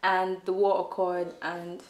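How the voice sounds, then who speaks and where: A young woman, chatting warmly as an interview guest with lots of "like" fillers. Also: lowish voice, flat delivery, measured pace, roomy sound. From Nigeria. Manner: warm, upbeat, many "like" fillers. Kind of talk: casual interview answers. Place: indoor studio on a sofa. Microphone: off-camera shotgun mic.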